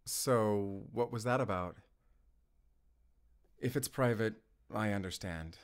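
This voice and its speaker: A man playing a gentle, considerate father and neighbour in a naturalistic audio roleplay, soft-spoken and a little hesitant. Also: on the low side, slow pace. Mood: gentle, concerned. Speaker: voice actor in audio drama. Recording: echoey, reverberant, loud music bed.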